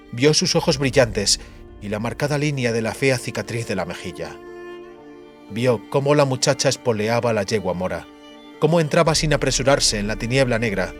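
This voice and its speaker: A man reading a fantasy audiobook in a measured, dramatic storytelling manner. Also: mid-range voice. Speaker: audiobook narrator. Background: background music.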